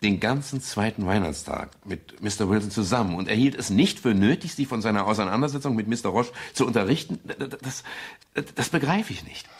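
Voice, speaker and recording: A man playing a polite but persistent, weary private detective in a 1970s German radio crime drama, with a husky, gravelly voice. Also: fairly low pitch, expressive, a little fast. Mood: questioning. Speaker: actor, lead and narrator.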